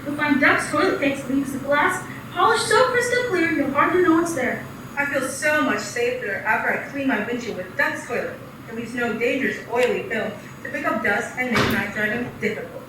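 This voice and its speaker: A woman reading an earnest radio ad testimonial in a high voice. She is heard from afar in a very echoey hall, with clear noise behind her. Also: expressive, moderate pace, far from the mic. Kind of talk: mock 1940s radio ad.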